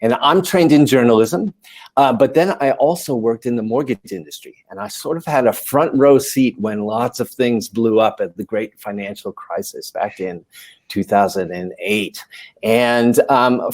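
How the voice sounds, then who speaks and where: A man's voice: mid-range voice, a little mellow. A man from New York City with an American accent, telling his own story conversationally as a panel guest. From New York City, USA. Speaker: panel guest, managing editor. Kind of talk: conversational personal account.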